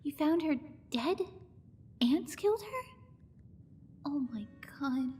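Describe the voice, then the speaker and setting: A woman voicing a troubled, grieving settler in a video game, upset and halting. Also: high-pitched, big pitch swings, a little mellow, a little hiss or hum, exaggerated voice. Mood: shocked, grieving. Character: shocked, grieving woman settler, quest giver. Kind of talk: video game character dialogue.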